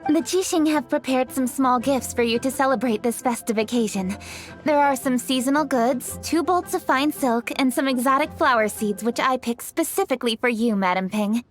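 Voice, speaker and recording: A woman's voice: high voice, moderate pace, faint music behind, natural voice. An actress voicing a courteous, dutiful young noblewoman official in a video game, formal and composed.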